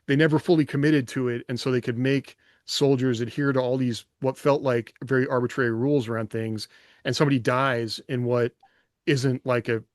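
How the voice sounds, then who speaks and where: A man's voice: mid-range voice, fairly even intonation, fairly quick, dry recording, clean studio sound. A man in casual podcast discussion, thinking aloud in a reflective, rambling way with rhetorical questions. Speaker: podcast host and author.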